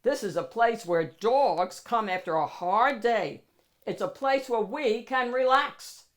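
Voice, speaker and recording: A woman voicing a confident male dog who bosses a secret club, in an assured, emphatic storybook manner. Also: medium pitch.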